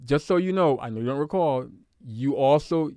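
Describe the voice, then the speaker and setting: A man's voice: mid-range voice, moderate pace, warm tone, no room sound, studio quality. A young man from Guyana, co-hosting a casual chat, teasing his partner and laughing as he argues. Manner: teasing, laughs often, playfully argumentative. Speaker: podcast co-host. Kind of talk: casual couple banter.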